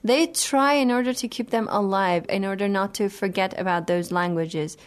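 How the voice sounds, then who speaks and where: A woman's voice: mid-range voice, normal speed. A woman hosting a radio talk show, speaking carefully and steadily with hesitant fillers, leading the discussion.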